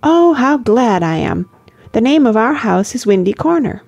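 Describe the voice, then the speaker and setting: A woman voicing an eager, naive young English gentlewoman in an effusive, girlish way for an audiobook. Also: a little high, big pitch swings, normal speed, gravelly, pitched above the performer's own voice, exaggerated voice. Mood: delighted, gushing.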